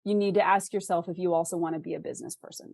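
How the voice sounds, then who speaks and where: A woman's voice: on the low side, fairly even intonation, racing, creaky, a little mellow, no room sound, clean studio sound. A woman speaking as an interview guest, giving candid, encouraging advice in an emphatic conversational way. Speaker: interview guest, author and freelance writer.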